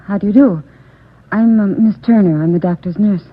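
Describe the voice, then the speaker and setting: An actress playing a helpful, professional doctor's nurse in a 1940s radio drama. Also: female voice, medium pitch, normal speed, lightly acted. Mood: polite. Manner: polite, professional. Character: polite, helpful female doctor's receptionist nurse. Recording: tinny, narrow-band 1940s radio recording.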